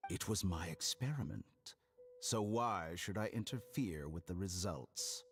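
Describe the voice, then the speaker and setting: A cold, arrogant game villain, a scientist with a low voice and animated phrasing. He takes his time and talks down to the listener with precise, theatrical calm. Music plays underneath. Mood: cool, clinical. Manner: unhurried, condescending, theatrical, precise. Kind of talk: scripted video game voice acting.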